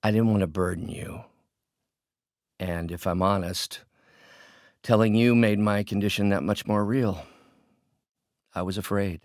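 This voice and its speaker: A man's voice: lowish voice, steady tone, unhurried, long pauses, a little mellow, no room sound, studio quality, close to an everyday voice. A male actor voicing a brilliant, bitter middle-aged scientist turned vengeful villain in a video game, with articulate, theatrical delivery. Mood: gentle, weary. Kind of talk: dramatic video game acting, isolated voice lines.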